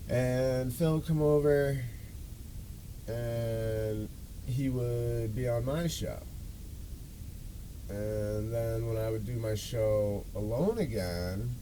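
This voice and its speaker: A man's voice: medium pitch, slow pace, long pauses, dark tone, a little hiss or hum, rough recording. A man hosting a podcast, telling a story in a casual, rambling, self-deprecating way with loose swearing. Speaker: podcast and show host.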